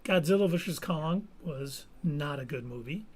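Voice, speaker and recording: A man co-hosting a live podcast, giving firm, animated opinions in casual chat. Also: a little high, normal speed, husky. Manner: opinionated, animated movie talk. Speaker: podcast co-host.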